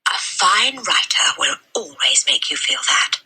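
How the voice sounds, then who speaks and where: A woman voicing a kindly, concerned village librarian with a gentle, swooping delivery in a children's storybook reading. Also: lowish voice, very animated, unhurried, breathy edge, whispered, pitched above the performer's own voice, exaggerated voice. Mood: kindly, helpful. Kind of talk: children's storybook read-aloud.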